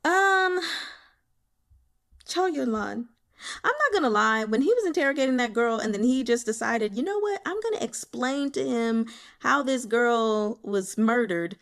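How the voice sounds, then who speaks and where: Casual, expressive woman chatting about a book. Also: fairly high pitch, unhurried. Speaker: audiobook reader and host. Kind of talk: casual reading commentary, chatty.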